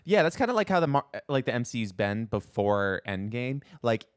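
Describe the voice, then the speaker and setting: A man's voice: medium pitch, big pitch swings, normal speed, clean tone. A man co-hosting a podcast in casual chat, rambling and slangy, leaning often on "like". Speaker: podcast co-host.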